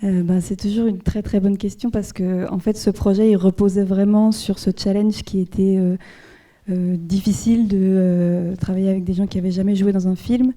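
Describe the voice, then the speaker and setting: Woman from the Jura, film director at a Q&A; dark, flat, hesitant and thoughtful. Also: medium pitch, normal speed. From Jura, France. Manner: hesitant, many fillers and restarts, thoughtful. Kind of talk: answering audience questions.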